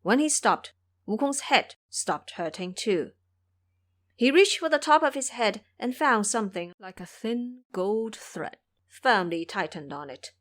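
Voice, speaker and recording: A woman narrating a scripted fantasy tale as the storyteller of an audio drama. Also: medium pitch.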